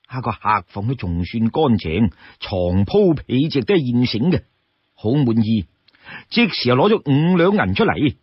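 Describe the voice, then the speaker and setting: A man with a Cantonese accent narrating a classic tale as an expressive storyteller. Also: medium pitch, moderate pace, slightly rough, dry recording, lo-fi sound.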